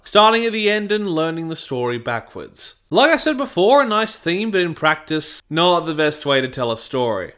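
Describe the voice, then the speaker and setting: A man's voice: on the high side, fairly bright tone. A man narrating a scripted but casual review of an audio drama, with wry asides.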